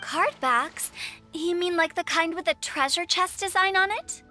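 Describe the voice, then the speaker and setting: A woman's voice: very high voice, measured pace, pushed and bright, faint music behind, heavily acted. A woman voicing a playful, confident, quirky teenage girl with a breezy, cheeky manner in an anime game dub.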